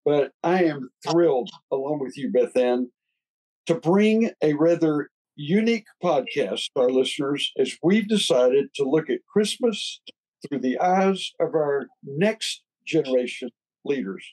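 A man's voice: on the high side, animated, unhurried, darkish tone, low-quality recording. A man from Texas with a Texan accent, co-hosting a podcast in a folksy, enthusiastic way. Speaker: podcast co-host.

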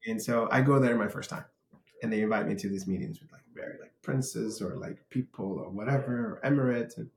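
A man's voice: fairly low pitch, a little fast, a little mellow. A man hosting a podcast, talking casually and telling stories with frequent restarts. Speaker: podcast host. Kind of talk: conversational podcast interview. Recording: roomy echo.

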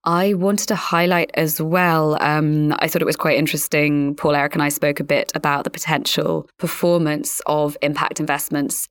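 A woman's voice: low voice, no room sound, studio quality. A woman hosting an interview podcast, polite and chatty, rephrasing as she thinks aloud.

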